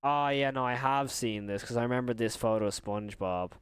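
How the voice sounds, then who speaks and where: A man's voice: medium pitch, a little fast, clear, resonant tone, no room sound. A young man chatting casually on a live stream as he reacts to videos and chat, rambling and often rephrasing himself mid-thought.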